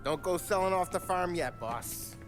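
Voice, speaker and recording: A brash American mob henchman from a crime game, always scheming and eager. His pitch swings freely as he talks in cocky slang, with music behind him. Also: moderate pace. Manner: slangy, cocky, animated street-tough talk. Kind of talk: video game cutscene acting.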